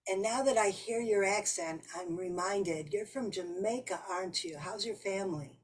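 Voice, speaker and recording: A woman's voice: mid-range voice, normal speed, breathy edge. A woman hosting a casual talk show, introducing and questioning her guest. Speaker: host. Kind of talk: casual interview chat.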